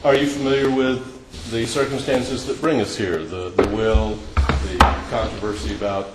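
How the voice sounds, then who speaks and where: Low-voiced man, methodical examiner at a hearing; roomy, noisy recording. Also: little pitch movement, normal speed. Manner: plain, methodical questions. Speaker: lawyer questioning a witness. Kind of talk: formal questioning at a hearing. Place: hearing room. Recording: echoey room with background noise.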